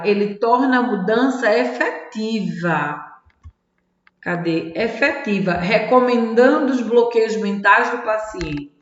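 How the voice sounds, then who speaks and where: A woman who coaches tarot and personal development, reading a self-help book aloud and commenting on it in a chatty way, often adding little "tá?" and "né?" tags. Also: a little high. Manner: chatty, peppers sentences with "tá?" and "né?" tags. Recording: echoey room with long reverb, dull lo-fi sound.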